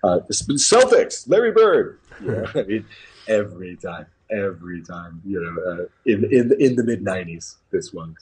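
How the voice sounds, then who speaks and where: A man's voice: sits low, big pitch swings, moderate pace, darkish tone, a little hiss or hum, low-quality recording. An American man commenting casually on basketball, opinionated and repeating phrases for emphasis. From New England, United States. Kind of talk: casual sports talk.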